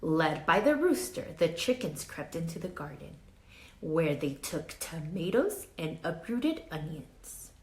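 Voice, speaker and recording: A woman reading a children's picture book aloud expressively, with dramatic emphasis and hushed asides, pausing to question young listeners like a teacher. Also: sits low, sing-song. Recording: roomy echo with faint background noise.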